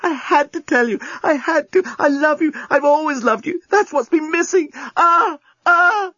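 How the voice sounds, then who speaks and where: A man voicing an excitable, passionate young woman in a comic audiobook, panicky and breathless. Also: very high voice, a little slow, no echo, highs cut off, pitched above the performer's own voice, heavily acted. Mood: frantic, amorous.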